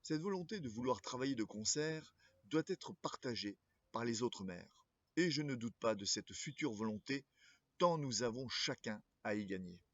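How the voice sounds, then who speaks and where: A man speaking as a local political candidate, reading a scripted address in a formal, measured way and occasionally stumbling over words. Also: mid-range voice, animated, no room sound, muffled recording.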